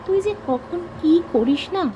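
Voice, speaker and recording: Woman acting high-pitched bossy young woman. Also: lively intonation, a little slow, dark tone, a little hiss or hum. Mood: scolding. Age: young adult. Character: young woman, bossy, teasing childhood friend. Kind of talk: Bengali animated story dialogue.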